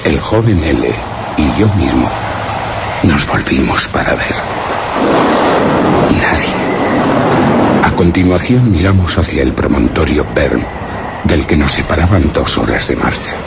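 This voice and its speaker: A man's voice: very deep voice, lively intonation, measured pace, vocal fry, pauses often, heavy background noise, exaggerated voice. An actor from a radio horror play, with a Spanish accent from Spain, playing a grave narrator who recounts his expedition in solemn, theatrical, ornate phrases. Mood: ominous.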